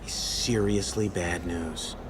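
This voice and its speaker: An actor playing a nervous young street hustler and informant in a video game, slangy and prone to stammering. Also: male voice, sits low, fairly even intonation, takes it slowly. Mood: wary. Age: young adult. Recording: clear background noise, vehicle ambience.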